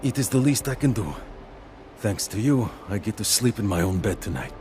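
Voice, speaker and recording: A man's voice: on the low side, animated, background noise, natural voice. An actor playing a tired, nervous augmented repairman who halts and fumbles for words in a video game cutscene. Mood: relieved. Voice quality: halting, weary, fumbling for words.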